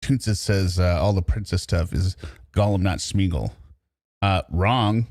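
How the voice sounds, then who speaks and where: A man's voice: sits low, a little slow, darkish tone, dry recording. A man giving casual, mocking reaction commentary with sarcastic, exasperated and profane asides. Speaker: commentator reacting to recorded calls.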